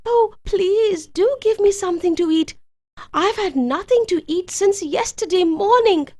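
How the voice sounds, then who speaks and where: A woman's voice: sits high, huge pitch swings, moderate pace, heavily acted. A woman voicing a polite, eager young boy in a storybook tale, speaking earnestly. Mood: pleading. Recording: music behind the voice.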